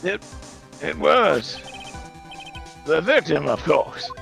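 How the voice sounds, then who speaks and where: Man voicing smug, creaky, theatrical prosecutor. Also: a little high, very animated, very slow, a bit bright, faint music behind, heavily acted. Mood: challenging, indignant. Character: smug, theatrical male prosecutor, sardonic and dismissive. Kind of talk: live fan dub of a courtroom video game.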